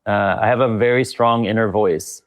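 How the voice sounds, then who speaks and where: A man's voice: fairly low pitch, steady tone, moderate pace. A middle-aged man being interviewed, speaking thoughtfully with frequent fillers and restarts. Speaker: guest, leadership consultant. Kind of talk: reflective conversational interview.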